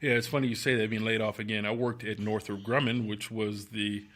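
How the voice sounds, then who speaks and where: Easygoing male host, bright tone. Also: on the low side, little pitch movement, brisk. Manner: easygoing, laughs often, many fillers. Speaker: podcast host. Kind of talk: casual interview chat.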